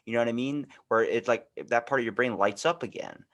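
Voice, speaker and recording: A man's voice: mid-range voice, brisk, a bit bright. A man hosting a podcast, talking in a casual, rambling, opinionated conversational way. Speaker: podcast host. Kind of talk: casual interview chat.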